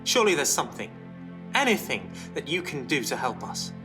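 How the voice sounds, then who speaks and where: A man voicing a desperate soldier in an audio story, pleading earnestly and haltingly over music. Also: medium pitch, sing-song, pitched above the performer's own voice, close to an everyday voice. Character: desperate young soldier pleading with a legendary hero. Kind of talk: audiobook character acting. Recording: music bed behind voice.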